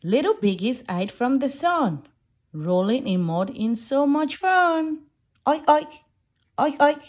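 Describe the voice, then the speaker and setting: A woman reading a picture book aloud to young children in an expressive way, putting on animal sounds. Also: high-pitched, takes it slowly.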